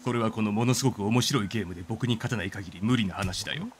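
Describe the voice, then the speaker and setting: A man voicing a smug, boastful young male storybook creature in a video game, with a self-important, measured delivery. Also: fairly low pitch, over music, pitched below the performer's own voice, lightly acted.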